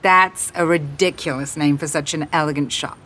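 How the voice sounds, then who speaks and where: Casting: female narrator as gushing young woman tourist for audiobook romance, pert, excitable, effusive delivery. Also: deep voice, normal speed, a little hiss or hum. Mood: teasing. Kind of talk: audiobook character dialogue.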